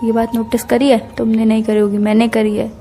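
A young woman talks on a recorded, emotional phone call. Her voice is fairly high and mellow, with a plaintive manner and little movement in pitch. Music plays behind her. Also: little pitch movement, fairly quick. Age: young adult. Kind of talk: emotional private phone conversation.

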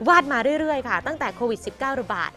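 A woman's voice: high voice, lively intonation, quick pace, pushed and bright, faint music behind. A woman entertainment news presenter narrating a scripted feature in a chatty, polite manner. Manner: chatty, frequent polite particles.

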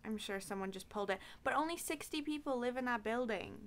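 A woman's voice: on the high side, lively intonation, fast talker. A young woman telling stories in casual podcast chat, acting out what people said. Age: young adult. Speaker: podcast guest.

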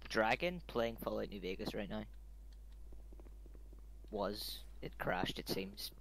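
A low, gravelly male voice in a gaming voice chat, blunt and a bit grumbly. A faint hiss runs under his speech. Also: lively intonation, takes it slowly, a bit bright, poor audio. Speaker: player in voice chat.

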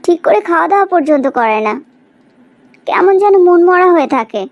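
A caring mother acted by a woman in a cartoon drama. She speaks in a very high voice, earnest and full of concern. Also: animated, a little fast, no echo, lo-fi sound. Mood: worried. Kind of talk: animated cartoon drama dialogue.

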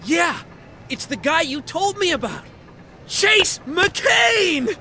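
Very high, goofy young cop. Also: male voice, normal speed, slight background noise, exaggerated voice. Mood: excited. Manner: dim, excitable, eager. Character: goofy, childlike, eager young male police officer. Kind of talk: animated comedy game acting.

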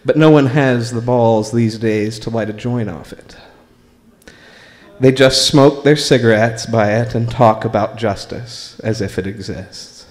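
Slow-paced American man reading in reverberant room. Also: medium pitch, darkish tone. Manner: deliberate, measured, pausing between short phrases. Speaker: spoken word performer reading own writing. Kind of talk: live open mic poetry and story reading. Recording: live recording in a reverberant room.

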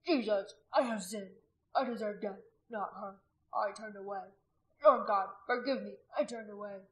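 A woman's voice: mid-range voice, normal speed, lightly acted, low-quality recording. A woman voicing an anguished, repentant warrior husband in a story, praying and pleading with a groaning delivery. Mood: weeping remorse.

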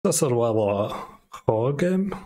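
Slow-talking man with a Russian accent. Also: medium pitch, expressive. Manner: thinking aloud with many pauses and hums. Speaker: streamer. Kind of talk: casual gameplay commentary. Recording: game music playing under the voice.

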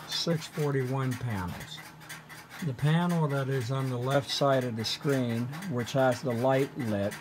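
Guy doing a casual technical show and tell, slow talker, pauses a lot, plain explaining tone, medium pitch. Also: rough recording. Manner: matter-of-fact, halting, explanatory. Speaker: presenter, telephone equipment collector.

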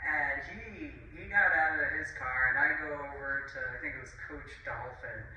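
Chatty woman, bright echoey far-off voice. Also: rumbling low, moderate pace, dull audio, slight background noise. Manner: rambling, chuckling, heavy on fillers and dismissive asides. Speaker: vlogger. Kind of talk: casual rambling monologue. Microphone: camera at a distance. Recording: echoey room, far from the mic.

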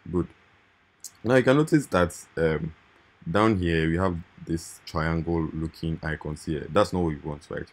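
A man's voice: very deep voice, husky. A man hosting a screen-recorded coding tutorial, talking informally and repeating his points with "right?" and "okay".

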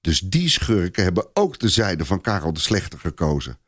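Low-voiced, animated male storyteller. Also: moderate pace, husky, no echo, clean studio sound. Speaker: narrator. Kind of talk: dramatised storytelling, scripted narration.

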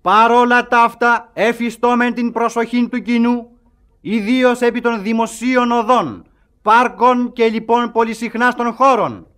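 An actor playing a pompous town crier who declaims an official proclamation in a radio comedy, halting over long words. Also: male voice, very high voice, steady tone, fairly bright tone.

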